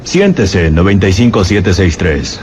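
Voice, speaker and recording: A deep-voiced, formal prison director, cold and commanding. His measured film delivery moves widely in pitch over faint background noise. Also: sing-song. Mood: coolly authoritative. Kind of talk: dubbed film dialogue.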